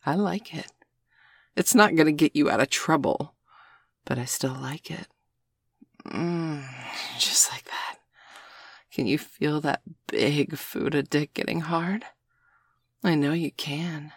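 A husky woman, slow and unhurried, playing a dominant, teasing part in an intimate audio roleplay. She murmurs close to the microphone and often sinks into breathy whispers. The sound is dry and near, with no room around it. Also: low voice, dry recording.

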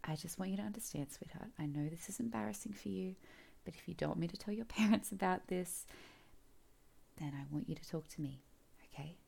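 A low, husky voice with a gravelly edge, a woman acting as a teasing, commanding mother in an audio roleplay. She coaxes softly and slips into whispers, heard up close on the mic. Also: a little fast, heavily acted. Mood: soft, coaxing. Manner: soft, coaxing, often whispered.

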